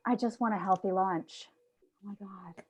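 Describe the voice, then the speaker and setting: A woman's voice: medium pitch, fairly even intonation, moderate pace, slightly rough, natural voice. An actress playing a gullible, picky health-conscious female customer in a sitcom table read on a video call. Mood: earnest, picky. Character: naive, fussy health-conscious female food court customer.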